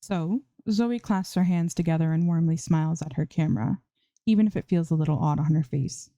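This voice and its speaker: Mellow low-voiced woman reading a story. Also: fairly quick, dry recording. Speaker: fan fiction reader. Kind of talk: scripted story narration, audiobook reading.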